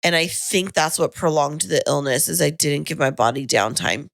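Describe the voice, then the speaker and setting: A woman co-hosting a podcast in casual chat, her voice husky and a little raspy from a lingering cold, talking in a chatty, rambling way. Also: sits low, moderate pace, dry recording. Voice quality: slightly raspy. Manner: chatty, self-deprecating, rambling. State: husky tail-end-of-cold voice. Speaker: podcast co-host. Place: home podcasting studio.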